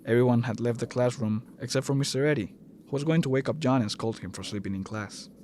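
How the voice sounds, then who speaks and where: A man's voice: mid-range voice, quick pace, darkish tone, slight background noise. A man reading scripted narration for an audio drama.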